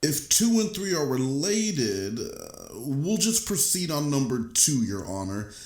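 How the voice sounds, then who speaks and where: A man's voice: medium pitch, big pitch swings, a little slow, darkish tone. A man reading the part of an accommodating government lawyer in a courtroom readers theater piece, recorded in an echoey room. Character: male government lawyer addressing a judge, accommodating. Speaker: prosecutor.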